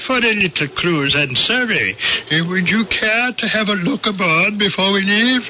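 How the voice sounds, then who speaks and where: An actor playing a stern, proud alien visitor in an old-time radio drama, speaking in a formal, pompous way. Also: male voice, sits high, lively intonation, pushed and bright, heavily acted. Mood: coolly polite. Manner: formal, pompous, deliberate speech. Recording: dull, noisy old radio recording.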